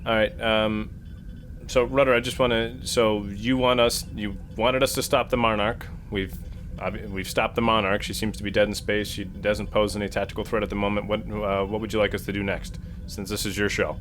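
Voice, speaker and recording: A man playing a captain in a casual tabletop roleplay, talking in a halting, deliberate way. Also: lowish voice, level tone, a bit bright, a little hiss or hum. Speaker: player acting as a starship captain.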